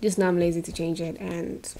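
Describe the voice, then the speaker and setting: A woman's voice: fairly low pitch, level tone, brisk, warm tone. A young woman from South Africa running her own channel in casual chat, bubbly and checking in with viewers, her voice a little worn, speaking into a desk mic in a small bedroom. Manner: bubbly, talks to viewers with frequent "okay?". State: losing her voice. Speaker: YouTuber. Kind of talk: casual chatty vlog. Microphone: desk microphone.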